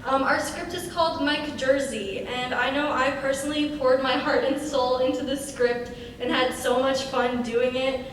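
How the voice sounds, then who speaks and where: A woman's voice: high voice, fairly even intonation, moderate pace, hardly any pauses. A young woman introducing a cast to an audience at a live table read event. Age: young adult. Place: large echoey room, live event. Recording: noisy, very echoey hall, distant mic.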